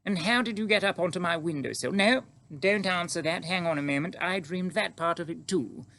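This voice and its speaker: Man voicing a high, imperious storybook Queen. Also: creaky, pushed and bright, full character voice. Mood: sharp. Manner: imperious, drawn-out deliberate speech. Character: regal older Queen, sharp, composed, commanding. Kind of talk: audiobook character acting.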